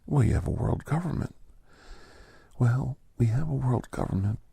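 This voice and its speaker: A man playing a genial older doctor with a secret past, telling folksy ASMR stories in an unhurried, breathy, gravelly half-whisper. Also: medium pitch, warm tone, no room sound. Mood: confiding, reminiscing. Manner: unhurried, folksy, halting, conversational. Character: genial old doctor, a retired secret government scientist, reminiscing. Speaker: storytelling podcast host in character. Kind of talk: ASMR storytelling, soft-spoken roleplay.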